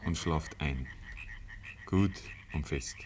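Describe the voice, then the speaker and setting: A man with a Bavarian accent narrating a 1950s radio play in measured, rustic storytelling. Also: very deep voice, a little mellow. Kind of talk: scripted literary radio narration. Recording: noisy old 1950s radio recording.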